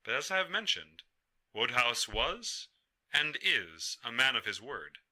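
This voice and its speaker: A man telling a folktale in a measured, emphatic storyteller's delivery. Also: medium pitch, sing-song, bright, forceful tone. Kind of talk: dramatic folktale narration.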